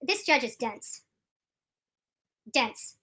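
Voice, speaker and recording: A woman with a high-pitched, piercingly bright voice comments live on legal news. She is emphatic and opinionated, hammering points by repeating them. Her delivery is halting, with frequent restarts. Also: lively intonation. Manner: emphatic, repeats phrases for stress. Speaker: streamer commenting on court news.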